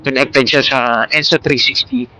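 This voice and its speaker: A man's voice: medium pitch, big pitch swings, normal speed, pushed and bright, poor audio. A man with a Filipino accent chatting casually in a rambling, informal vlog, mixing in English words, speaking into a Bluetooth intercom headset. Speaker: motovlogger. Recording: Bluetooth intercom mic with vehicle noise.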